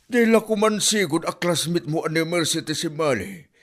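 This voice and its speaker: A man's voice: a little high, expressive, normal speed, a bit bright. A man acting an easygoing, sleepy father in a comedy drama, rambling and fatherly. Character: drowsy, easygoing old-fashioned father.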